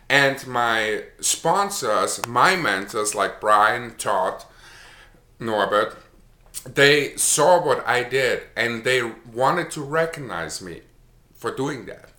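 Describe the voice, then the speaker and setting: A man's voice: on the low side, sharp tone, reverberant. A middle-aged man with an Austrian accent, telling his own story casually to the camera, with frequent restarts and fillers. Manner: chatty, repeats words and restarts with many uh's. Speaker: online marketer.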